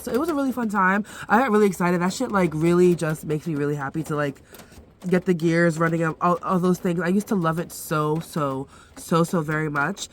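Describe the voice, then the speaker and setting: A young woman with a congested, stuffy nose rambles through her day in a loose, chatty way, full of fillers and restarts. Her voice sits a little low, and she's recorded inside her van with faint background noise. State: stuffy nose from sinus pressure. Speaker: van life vlogger. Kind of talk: casual vlog chat to camera.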